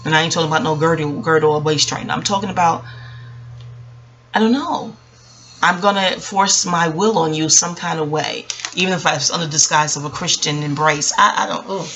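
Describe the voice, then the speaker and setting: A woman speaking casually and reflectively about her own experience, rambling with emphatic pauses and frequent self-corrections. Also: low voice, fairly bright tone, a little hiss or hum. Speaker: vlogger. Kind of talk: casual reflective personal storytelling.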